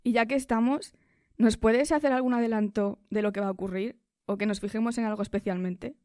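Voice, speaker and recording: Woman from w reading out interview questions, soft dark tone, dry recording. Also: on the high side, steady tone, a little fast, dry recording.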